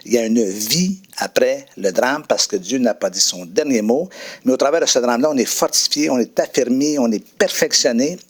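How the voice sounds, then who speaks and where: Hesitant French-speaking man giving testimony. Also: mid-range voice. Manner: hesitant, many fillers and restarts. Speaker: guest pastor. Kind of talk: testimony and prayer.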